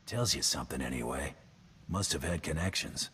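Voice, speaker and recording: A man's voice: extremely low pitch, animated, moderate pace, pushed and bright, heavily acted. A man voicing a tough, laconic bounty hunter in a video game, terse and dryly menacing. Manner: terse, dry, threatening. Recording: long hall reverb, background noise.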